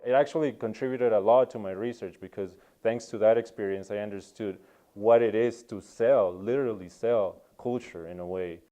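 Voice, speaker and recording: Thoughtful young man, mellow voice. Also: lowish voice, normal speed. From Peru. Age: young adult. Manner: reflective, many ums and uhs. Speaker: anthropology student. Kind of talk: interview about his research.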